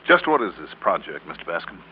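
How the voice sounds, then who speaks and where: Tough, clipped American private investigator narrating a vintage radio drama. Lowish voice, thin band-limited sound. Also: male voice, big pitch swings, a little fast, lo-fi sound, slight background noise. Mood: skeptical. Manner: clipped, wry, hard-boiled. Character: cool tough insurance investigator narrating his case. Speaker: lead actor and narrator. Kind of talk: classic American radio drama acting, first-person narration.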